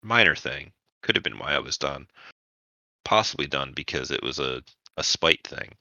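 A man narrating a tabletop roleplaying game as game master, in measured, deliberate phrases with pauses. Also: a bass voice, lively intonation, gravelly, bright, forceful tone, dry recording, dull audio.

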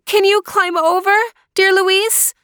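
Woman doing a young girl, high and pleading, urgent, storybook reading, dry close sound. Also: level tone, takes it slowly, sharp tone, no echo, full character voice.

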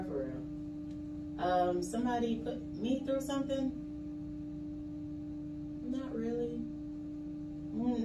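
A woman talking casually as a guest on a relationship podcast. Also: fairly high pitch. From Haitian background. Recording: echoey room, distant mic, loud hum.

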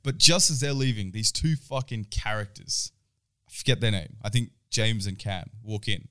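A man's voice: lowish voice, moderate pace, pushed and bright, clean tone. A young man in his twenties, casually telling a swear-filled story with a laugh now and then. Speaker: podcast co-host. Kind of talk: casual chat.

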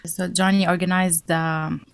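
A young woman from Syria, a band guest in an interview, who answers in a halting way with many fillers and restarts. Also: fairly low pitch, level tone, vocal fry, clear, resonant tone, no room sound.

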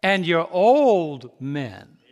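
High-voiced preacher in his fifties, echoing hall. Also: very animated. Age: 50s. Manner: preaching with call-and-response questions to the congregation; swings from soft, slow reflection to loud, rising exhortation. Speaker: pastor. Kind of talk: sermon. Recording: long reverb in a large hall.

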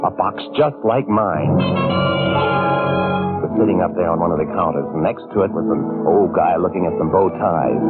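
An American actor playing a nervous killer confessing his crime in halting, confiding 1940s radio drama style. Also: deep voice. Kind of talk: 1940s radio suspense acting, first-person narration. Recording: muffled vintage radio recording.

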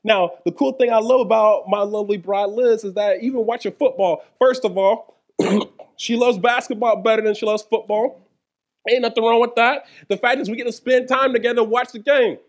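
Man, high voice, pastor, playful jokey sermon, church service. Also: fairly even intonation, normal speed. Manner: playful, jokey, calls to the congregation.

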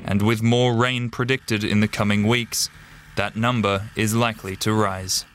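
A man's voice: lowish voice, little pitch movement, measured pace, fairly bright tone, a little hiss or hum. A man narrating a scripted television news report as a reporter.

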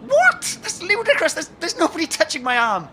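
Casting: actress for exasperated British pal, highish voice, very animated, incredulous stammering comedy delivery. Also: female voice, fairly quick, soft background music, full character voice. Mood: baffled. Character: baffled, exasperated friend searching the street. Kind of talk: comedy sketch acting.

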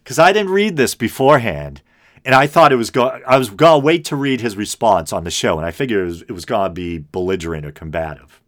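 A middle-aged man with a low, droning, semi-gravelly voice hosts his own podcast. He rambles in a self-deprecating way, full of ums and restarts, pitched on the deep side. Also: very animated, quick pace, a bit bright. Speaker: podcast host. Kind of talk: casual solo podcast monologue.

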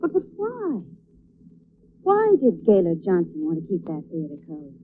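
A woman's voice: lowish voice, huge pitch swings, unhurried. An actress playing a detective's inquisitive lady companion in 1940s radio drama style, genteel and questioning. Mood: curious. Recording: noisy, tinny old radio recording.

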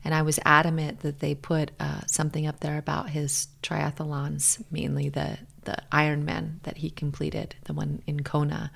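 Low, creaky-voiced American woman. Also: completely flat delivery, normal speed, few pauses. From Hawaii, USA. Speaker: interview guest. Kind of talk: reflective personal interview.

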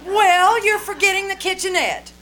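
A woman's voice: very high voice, moderate pace, a bit bright, some chatter behind, rough recording. A woman playing a jealous, sarcastic young wife in a theatrical old-time radio comedy. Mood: peeved.

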